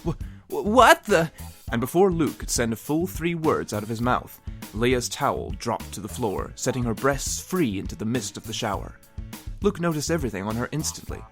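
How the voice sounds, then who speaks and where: A man's voice: mid-range voice, sing-song, fairly quick. A man narrating comedic fan fiction in a mock-serious, theatrical way with wry asides. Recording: music behind the voice. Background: music.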